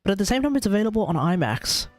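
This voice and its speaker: A man's voice: sits high, very animated, racing, no echo. A man with an Australian accent hosting a game livestream in casual, rambling, hesitant chat. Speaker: livestream host, gamer.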